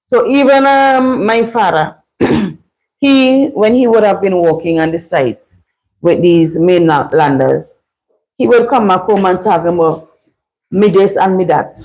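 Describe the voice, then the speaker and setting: Slow, easygoing Vincentian woman host. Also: mid-range voice, a little mellow, rough recording. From Saint Vincent and the Grenadines. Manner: easygoing, laughs often. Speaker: live stream host. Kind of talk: casual live chat.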